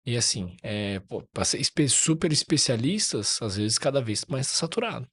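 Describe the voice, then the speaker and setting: A man's voice: mid-range voice, lively intonation, moderate pace, a bit bright, breathy edge. A man hosting a casual podcast, talking in a laid-back, slangy way with casual swearing.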